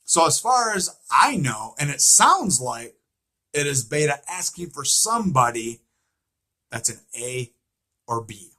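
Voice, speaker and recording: A man's voice: fairly high pitch, big pitch swings, unhurried, bright, forceful tone. A man giving an informal recap and theory commentary on a show, emphatic and repetitive, often posing rhetorical questions. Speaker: fan commentator on a TV series.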